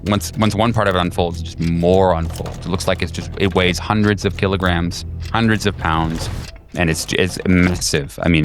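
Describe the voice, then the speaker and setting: A low-voiced man acts as game master for a horror roleplaying game. He improvises his narration casually, repeating words as he builds a scene, over faint music. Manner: informal, halting, repeats words.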